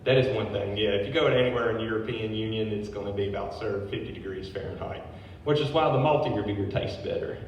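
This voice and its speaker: A man's voice: on the low side, fast talker, hardly any pauses, muffled recording. A man, a brewery owner, giving a public history talk, reading from notes with casual asides. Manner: informal asides between read passages. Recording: very echoey hall, distant mic, faint noise.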